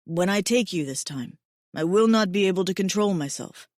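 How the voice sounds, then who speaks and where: A woman's voice: on the low side, expressive, normal speed, no room sound, clean studio sound, close to an everyday voice. A woman voicing a big tender alien lover. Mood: urgent. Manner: soft, earnest, formal phrasing.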